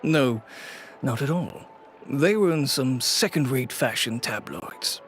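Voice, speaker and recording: An actor playing a weary middle-aged fashion shop owner and cautious father, speaking in a measured, reluctant way in a video game. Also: mid-range voice, expressive, a little hiss or hum. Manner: measured, reluctant, lightly sighing.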